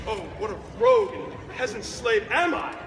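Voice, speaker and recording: A man's voice: very high-pitched, very animated, moderate pace. A young man performing an agitated, self-loathing prince in a declamatory Shakespearean stage monologue. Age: teenager. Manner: declamatory, swinging to shouts. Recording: echoey courtyard, crowd and noise.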